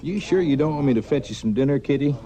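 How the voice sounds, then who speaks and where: An actor playing a mild, kindly saloon bartender in an old-time radio western, solicitous and folksy. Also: male voice, mid-range voice, expressive, fast, close to an everyday voice. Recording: dull old radio, music behind.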